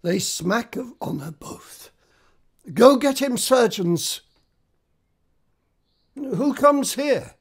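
A stately, gracious king acted by a man speaks slowly in a high male voice, as in classical radio drama. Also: lively intonation, husky, heavily acted. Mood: commanding.

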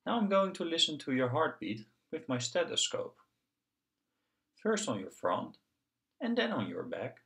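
A man's voice: a little high, sing-song, a little slow, creaky, long pauses, a bit bright, voice pushed up, full character voice. A friendly puppet doctor from a picture book, played by a man. The delivery is encouraging and singsong, with lots of rise and fall. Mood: instructing. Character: friendly, reassuring puppet doctor in a children's storybook.

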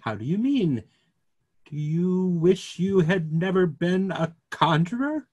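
A middle-aged man playing an earnest, gently ironic clergyman in a play reading, wordy and rhetorical. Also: high voice, very animated, slow, heavily acted. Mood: puzzled, probing. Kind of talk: remote script reading of a stage play. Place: small room at home, video call.

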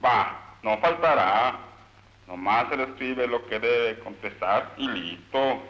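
A man's voice: medium pitch, lively intonation, takes it slowly. A man with a Mexican accent playing a cynical, pompous, scheming political boss in a satirical cartoon. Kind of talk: satirical animated film dialogue. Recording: dull old film soundtrack.

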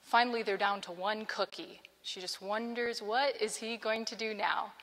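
A young woman vicar preaching a sermon in an earnest, measured way, on a lapel mic in a large church sanctuary. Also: medium pitch, fairly even intonation, fairly bright tone. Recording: long church reverb.

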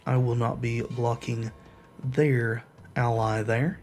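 A man's voice: medium pitch, flat delivery, slow pace, darkish tone, soft background music. A man commentating his own online card game, explaining card rules and then muttering along with the plays while he recovers from a cold. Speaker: gameplay commentator. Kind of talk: casual gameplay commentary.

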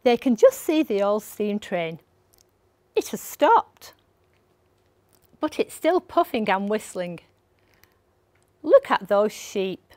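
Slow-paced older woman reading a bedtime story. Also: medium pitch, no room sound. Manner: slow, expressive read-aloud delivery for young children. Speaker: library storyteller. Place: indoor set with a sofa. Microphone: lapel mic.

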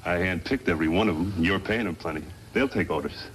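Man acting fast-talking low-voiced mine gang boss. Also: natural voice. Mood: businesslike. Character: tough, shrewd male mine boss, hired crew foreman in a western. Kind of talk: 1950s radio western acting. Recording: noisy vintage 1950s radio recording.

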